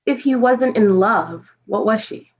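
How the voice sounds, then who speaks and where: Lady playing a fussy dramatic narrator, mid pitch, easily flustered, lo-fi muffled sound. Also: measured pace, husky. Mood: defensive. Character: earnest, pedantic, easily flustered storyteller. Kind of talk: comic stage reading.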